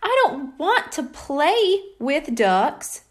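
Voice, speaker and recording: A woman voicing an eager, scheming six-year-old boy with a pleading, excitable manner in a children's storybook. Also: high voice, extremely slow, heavily acted.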